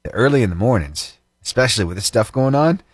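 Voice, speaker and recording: Male radio morning-show host, lively pitch, dry close studio sound, fervent then chatty. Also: fast, slightly rough, no room sound. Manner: animated, fervent praying then chatty and upbeat. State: slightly itchy throat, voice lower than usual. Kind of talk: prayer and casual radio chat. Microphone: studio microphone.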